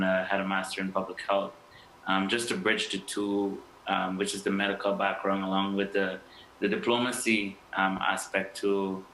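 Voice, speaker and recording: A man's voice: low voice, bright, forceful tone. A man from Guyana speaking as an interview guest, hesitating with many fillers and restarts. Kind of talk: interview answers. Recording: echoey room with faint noise.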